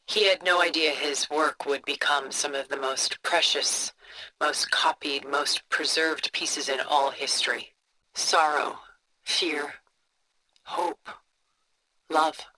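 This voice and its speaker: An actress playing a refined, cultured, coolly composed older woman in a video game, speaking in a poised, deliberate, articulate way. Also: deep voice, bright, forceful tone, slight background noise, poor audio. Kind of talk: scripted video game dialogue, art commentary.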